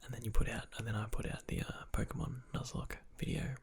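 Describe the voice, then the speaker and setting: A young man, an ASMR channel creator, answers viewer questions in a soft whisper, rambling with fillers. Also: mid-range voice, level tone, fairly quick, gravelly, husky.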